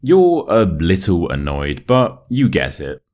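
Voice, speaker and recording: A man with a modern RP British accent reading a short story as an English teacher, in a measured, clearly enunciated way. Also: deep voice, wildly swooping pitch, darkish tone. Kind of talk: scripted story narration for listening practice.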